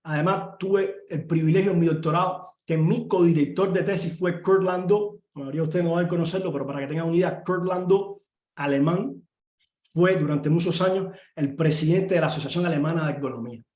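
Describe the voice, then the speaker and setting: A middle-aged professor from Cuba with a Cuban Spanish accent, speaking earnestly in an online talk with many pauses and filler sounds. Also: male voice, fairly high pitch, darkish tone. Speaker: university professor and guest speaker. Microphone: video call. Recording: echoey room.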